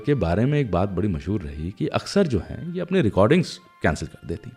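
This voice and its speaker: Deep-voiced, lively male radio host. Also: fairly quick, slightly rough, soft background music. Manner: conversational, anecdotal, addresses listeners directly. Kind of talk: chatty music radio storytelling.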